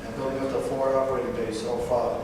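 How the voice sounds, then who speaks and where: A man in his twenties from Northern California, a veteran giving a personal talk, halting at times with fillers and self-corrections. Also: mid-range voice, little pitch movement. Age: 20s. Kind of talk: personal speech read to an audience. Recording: distant, echoey hall recording with background noise.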